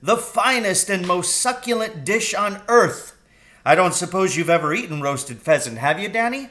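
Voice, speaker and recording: Exuberant, emphatic storybook father, bright-voiced man acting. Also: sits high, normal speed, pitched above the performer's own voice. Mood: triumphant. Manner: emphatic, grandly excited declarations. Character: exuberant, boastful, loving father, grown man with boyish glee. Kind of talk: children's storybook reading.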